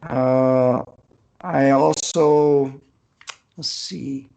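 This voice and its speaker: A man's voice: mid-range voice, fairly even intonation, extremely slow, vocal fry, darkish tone, rough recording. An older man chatting casually as an author guest on a podcast, hesitant and rambling as he thinks aloud. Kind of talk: casual unscripted interview chat.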